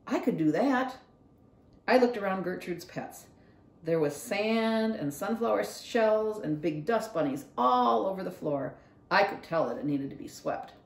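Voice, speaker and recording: A woman's voice: medium pitch, expressive, measured pace, gravelly. A woman narrating a children's storybook aloud expressively, as a library read-along reader. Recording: roomy echo.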